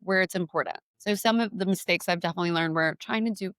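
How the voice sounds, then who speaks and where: A woman's voice: lowish voice, quick pace, a bit bright, smooth, no echo, clean studio sound. A young woman, a business guest, telling candid stories and giving advice with many casual fillers. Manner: candid storyteller, frequent "like" fillers. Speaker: guest entrepreneur. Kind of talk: interview conversation.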